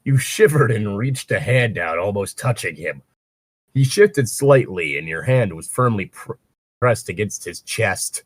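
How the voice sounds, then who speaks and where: A man's voice: fairly low pitch, animated, measured pace, no echo. A man reading fan fiction aloud casually and breaking in with sarcastic, crude wisecracks. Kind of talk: casual read-aloud with joking commentary.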